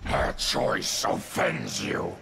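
An actor playing a savage, defiant monster warlord in a video game, with a growling, blunt, menacing delivery. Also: male voice, huge pitch swings, drawn-out words, music in the background, full character voice. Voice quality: deep gravelly growl. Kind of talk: video game character dialogue. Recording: loud noise behind voice.

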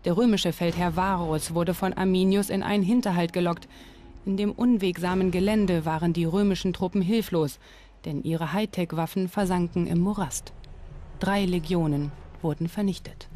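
Low, mellow-voiced female documentary narrator. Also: steady tone, moderate pace, slight background noise.

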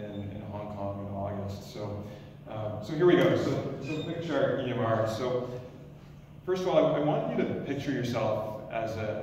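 A man in his thirties, an emergency doctor, giving a loud, energetic startup pitch in a lecture hall with frequent restarts. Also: background noise. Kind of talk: pitch presentation. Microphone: stand mic, recorded from far camera. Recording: echoey lecture hall from far off.

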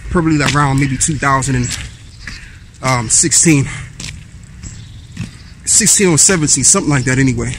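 A man in his thirties talks to a handheld camera as he strolls a park path. His preaching is easygoing and mid-pitched, broken up by fillers as he reads verses aloud. Faint outdoor noise sits behind him. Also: normal speed, pauses often. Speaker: street preacher vlogger. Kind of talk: walking vlog, preaching and reading scripture. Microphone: handheld camera at arm's length while walking.

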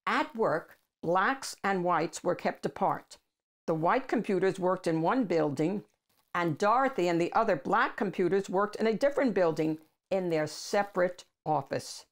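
An older woman reads a picture book aloud as a host for children, with deliberate, emphatic phrasing. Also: fairly low pitch, animated. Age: older, 60s or above. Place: small room at home with bookshelves.

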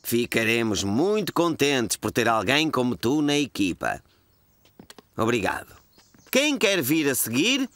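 A man's voice: mid-range voice, sing-song, unhurried, a bit bright, slight background noise. A man with a European Portuguese accent playing a friendly, encouraging fire chief in a children's cartoon dub. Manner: cheerful, encouraging, explanatory.

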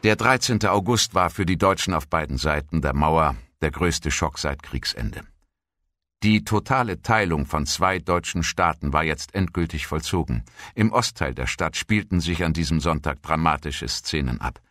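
A man reading scripted historical narration as a documentary narrator, in a measured, formal manner. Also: extremely low pitch, expressive, a bit bright, dry recording, clean studio sound. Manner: measured, formal read-out delivery.

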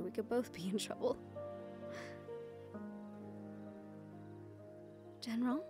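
A woman playing a shy, lovestruck young fantasy maiden, highish voice. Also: close to an everyday voice. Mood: affectionate, shy. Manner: hesitant, tender, breathy hushed passages. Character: shy, lovestruck young nephilim woman, self-conscious and sweet. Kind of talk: romantic audio roleplay acting.

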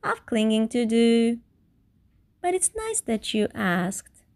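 Female reader as anxious storybook koala, male, polite but reluctant, high and wavering in pitch. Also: very animated, takes it slowly, a little mellow, heavily acted. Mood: politely reluctant. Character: timid, anxious male koala who refuses to budge.

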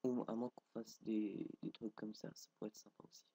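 A man's voice: lowish voice, little pitch movement, fairly quick, mellow, breathy edge, no room sound. A young man with a cold and a stuffy nose, casually commenting as he builds in a game, thinking aloud with frequent hesitations. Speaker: gameplay video creator.

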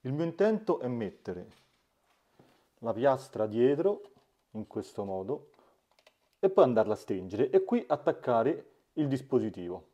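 A man's voice: mid-range voice, sing-song, measured pace, pauses often, dark tone, muffled recording. A man, giving a hands-on DIY tutorial as the host, recorded indoors in a small room. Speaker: host of a DIY smart-home channel. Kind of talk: hands-on tutorial narration to camera.

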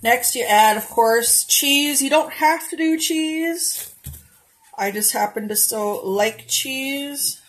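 A woman's voice: sits high, slow, a bit bright. A woman casually narrating a cooking demo in her home kitchen, chatty and homey, talking straight to her viewers. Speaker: cooking vlogger.

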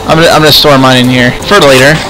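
A man improvising in-character chat in a video game roleplay, halting and often repeating words. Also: medium pitch, steady tone, noisy background. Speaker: host and player of an online roleplay series.

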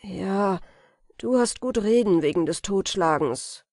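A woman's voice: medium pitch, lively intonation, takes it slowly, a little mellow, no room sound, clean studio sound. A woman reading an audiobook, voicing a fearful young male goldsmith apprentice who pleads and protests. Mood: anxious. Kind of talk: audiobook character voice.

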